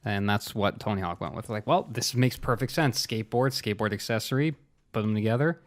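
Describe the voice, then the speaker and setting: A man's voice: fairly low pitch, fairly quick. A man in casual banter as a podcast co-host.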